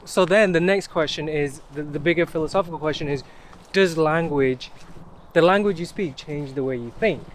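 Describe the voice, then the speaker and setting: A man's voice: on the high side, moderate pace, a little hiss or hum. A man hosting a casual interview while walking outdoors, asking eager, curious questions. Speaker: podcast host. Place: outdoors in a park.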